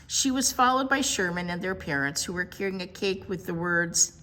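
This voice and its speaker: A woman's voice: fairly low pitch, moderate pace, pushed and bright. A woman reading a picture book aloud expressively as a children's storytime presenter.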